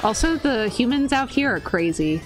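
A woman's voice: fairly high pitch, lively intonation, moderate pace, poor audio. An American woman from Florida chatting casually on a game live stream, playful and self-deprecating. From Florida, United States. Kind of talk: casual live-stream chat while gaming. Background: video game music.

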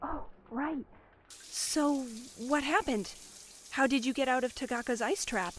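A woman playing an earnest, easily wounded teenage girl in an animated drama, speaking in a halting, emotional way. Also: sits high, slight background noise, heavily acted. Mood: subdued.

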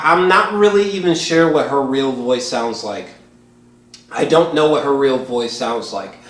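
A man's voice: fairly high pitch, a bit bright. A man in his twenties giving a casual, emphatic, profanity-laced reaction review to camera. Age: 20s. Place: small home kitchen, indoors. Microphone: camera a few feet away. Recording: echoey kitchen, distant camera mic.

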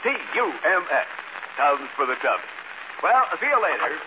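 A man's voice: on the high side, sing-song, a little slow. Upbeat 1940s ad man, swings up and down, tinny noisy radio sound. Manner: upbeat, emphatic, salesman-like. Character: relentlessly cheerful male friendly radio pitchman. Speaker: commercial announcer. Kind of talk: 1940s radio advertising patter.

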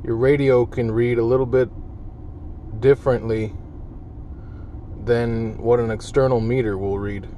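A man's voice: mid-range voice, steady tone, measured pace, long pauses, lo-fi sound. A man giving a casual hands-on demonstration in a folksy, plain-spoken way, recorded on a handheld device inside a vehicle. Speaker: vlogger demonstrating CB radio gear. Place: inside a parked vehicle cab. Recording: handheld recording with car cabin noise.

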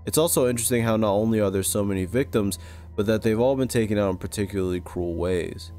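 A man with a low-pitched voice narrates a scripted video essay. His delivery is measured and serious. Music plays faintly behind him. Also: dark tone. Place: close-recorded voice-over with music behind.

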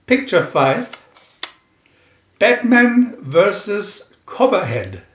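A man reading scripted children's narration like an announcer, with a deliberate, dramatic delivery. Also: high voice, sing-song, fairly bright tone. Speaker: booklet reader and channel host. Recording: roomy echo, dull lo-fi sound.

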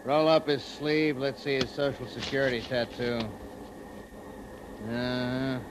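A man's voice: mid-range voice, steady tone, unhurried, natural voice. An American man playing a scornful, domineering ship's officer in a 1950s radio drama. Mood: brisk, official. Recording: noisy vintage 1950s radio recording.

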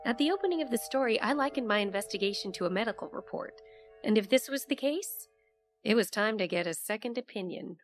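A woman acting as an investigator who narrates a mysterious case in a measured first-person audio drama. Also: fairly high pitch, lively intonation, faint music behind, close to an everyday voice. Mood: pensive, intent.

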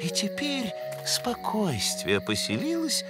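Learned cartoon moose, pompous, theatrical, slow delivery, big pitch swings, loud music behind. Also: male voice, huge pitch swings, exaggerated voice. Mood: serene, smug. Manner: pompous, florid scholarly phrasing, theatrical. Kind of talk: animated cartoon voice acting.

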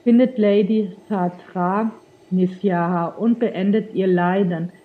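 A woman with a slight cold reading out the lines of a solemn elven temple priestess from a game quest, slowly and in her own voice. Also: medium pitch, fairly even intonation, phone-quality sound, close to an everyday voice.

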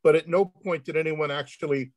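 A man with a fairly high voice answers questions on a panel. He speaks informally and haltingly, often searching for words. The sound is dry and close, from an indoor video call. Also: fairly even intonation, no echo. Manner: halting, hesitant delivery.

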